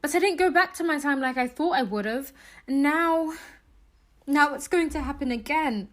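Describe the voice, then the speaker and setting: A woman playing a desperate, defiant young time traveller in an audio drama, speaking emotionally and haltingly. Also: sits high, close to an everyday voice. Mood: grieving, helpless.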